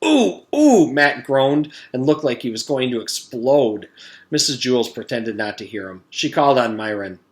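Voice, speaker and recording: A man reading a children's storybook aloud as narrator, in the animated manner of a teacher reading to pupils. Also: medium pitch, moderate pace.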